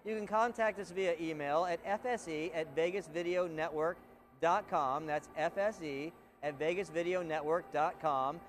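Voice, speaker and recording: Chatty higher-voiced male show host. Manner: loose, chatty, frequent restarts. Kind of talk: casual hosting chat.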